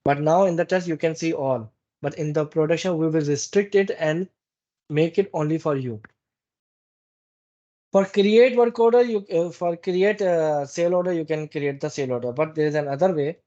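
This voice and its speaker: Guy training staff on software, mellow kinda high voice, halting, repeats himself, muffled lo-fi audio. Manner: halting, instructive, repeats phrases. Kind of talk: live training walkthrough with screen demonstration. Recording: dull, muffled lo-fi meeting recording.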